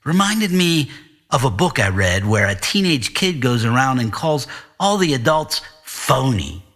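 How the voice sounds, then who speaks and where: A man reading scripted narration as an author and podcast host, in a measured, wry manner. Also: mid-range voice, sing-song, fairly bright tone. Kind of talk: scripted first-person fiction narration.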